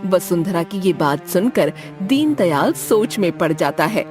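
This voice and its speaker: A woman narrating a cartoon story in a clear storytelling manner, over background music. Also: fairly low pitch, animated, unhurried.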